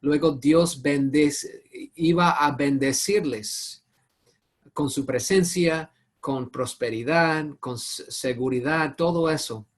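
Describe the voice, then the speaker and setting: A man with English as his first language leading an online Bible study over a video call, often halting and searching for words. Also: fairly high pitch. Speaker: Bible teacher.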